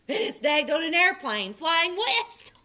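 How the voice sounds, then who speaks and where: A woman, a librarian, reading a children's picture book aloud with expressive delivery. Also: very high-pitched, measured pace. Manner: expressive, puts on character voices in quotes. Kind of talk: storybook read-aloud.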